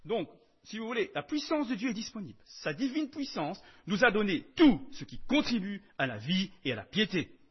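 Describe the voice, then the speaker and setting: A man preaching a sermon in French, emphatic, swinging between loud declarations and soft asides. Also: high voice, very animated, moderate pace, breathy edge, muffled recording.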